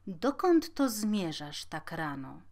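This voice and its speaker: Woman voicing a slow, sly storybook wolf. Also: lowish voice, very animated, darkish tone, exaggerated voice. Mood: coaxing. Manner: coaxing, cunning. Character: sly hungry male wolf, sweet-talking schemer.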